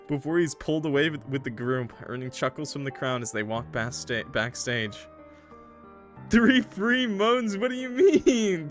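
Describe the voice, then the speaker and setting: A man streaming a visual novel, switching between dramatic narration and animated, jokey, sweary commentary. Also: on the high side.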